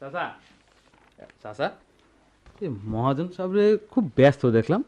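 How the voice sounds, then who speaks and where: An actor playing an eager, hesitant young shop assistant in a radio drama, deferential, with a rural Bangladeshi accent. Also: male voice, fairly high pitch, big pitch swings, unhurried, mellow, lo-fi sound. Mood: curious. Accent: Bangladeshi rural dialect. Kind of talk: Bengali radio drama acting.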